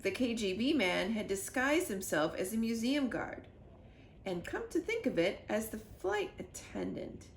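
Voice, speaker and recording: A woman narrating a children's storybook in an expressive read-aloud style. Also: fairly high pitch, unhurried. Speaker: storybook reader. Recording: echoey room with faint noise.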